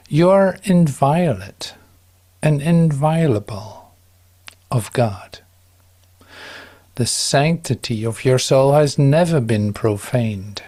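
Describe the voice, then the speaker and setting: A man giving a calm, solemn, measured reading of a devotional text, with drawn-out pauses. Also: medium pitch. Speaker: devotional reader.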